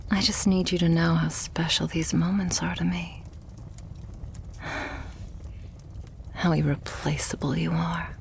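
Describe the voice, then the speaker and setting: A woman playing a loving, possessive vampire girlfriend in an intimate ASMR roleplay, tender and hushed, with breathy sighs between phrases. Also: fairly low pitch, slight background noise. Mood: soothing, devoted.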